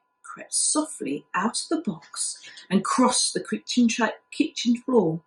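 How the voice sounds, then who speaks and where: A woman reading a children's story aloud like a primary school teacher, acting out the telling expressively. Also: a little high. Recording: echoey room.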